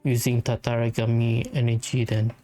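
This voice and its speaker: A woman's voice: a bass voice, level tone, normal speed, mellow. A woman giving casual, laid-back reactions while playing through a video game. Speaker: gaming channel player. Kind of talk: casual let's-play commentary.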